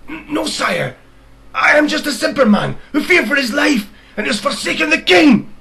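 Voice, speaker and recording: Man voicing a high-pitched, frightened storybook soldier. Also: very animated, moderate pace, vocal fry, bright, forceful tone, heavily acted. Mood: fearful, pleading. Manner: stammering, deferential. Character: frightened, pleading male soldier grovelling before king. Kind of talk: storybook character reading.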